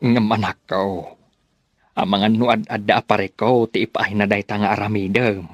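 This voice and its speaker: A man's voice: lowish voice, fairly even intonation, moderate pace, dry recording, highs cut off, natural voice. A man playing a worried village father of a grown daughter in a radio drama, with an Ilocano accent.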